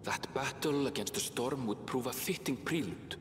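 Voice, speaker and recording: A man's voice: medium pitch, lively intonation, a little hiss or hum, close to an everyday voice. An actor as formal young male storyteller, echoing hall. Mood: reflective, solemn. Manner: measured, literary, formal. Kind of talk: scripted dramatic narration.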